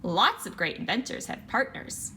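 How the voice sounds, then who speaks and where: Storybook role: patient dad, read by a woman, encouraging, expressive pitch movement, bright tone. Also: normal speed, slight background noise. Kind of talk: children's picture book read-aloud.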